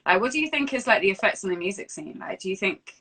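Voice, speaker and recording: A woman with a London accent and a bright, forward voice, talking fast. She sounds like an interviewer chatting informally on a livestream, with loose, conversational phrasing. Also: medium pitch, animated, slightly rough. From London, England. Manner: informal, conversational phrasing full of "like" and "you know". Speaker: interviewer and host. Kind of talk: casual livestream interview chat. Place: remote Instagram Live video call.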